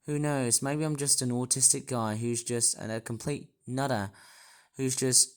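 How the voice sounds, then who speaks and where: A man talks straight to his channel in a croaky, hoarse voice that sits at an ordinary middle pitch. He rambles and repeats himself, circling back with hesitant restarts as he works through his story. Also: fairly even intonation, measured pace. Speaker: YouTuber. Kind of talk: rambling personal vlog monologue.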